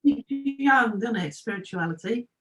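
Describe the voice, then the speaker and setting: A woman's voice: fairly high pitch, expressive, measured pace. A woman talking as a guest in an interview, chatty and earnest, rambling from one thought to the next. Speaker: guest, trance medium. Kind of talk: casual interview chat.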